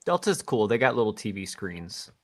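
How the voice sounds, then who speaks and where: A man's voice: lowish voice, very animated, normal speed, a bit bright. A man chatting casually in a group, joking and slangy in his delivery.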